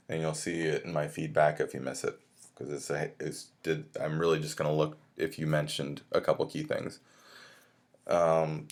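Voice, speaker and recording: A man with a low-pitched voice speaks as a college instructor. He lectures informally, explaining material step by step in a conversational way, as if walking students through their work.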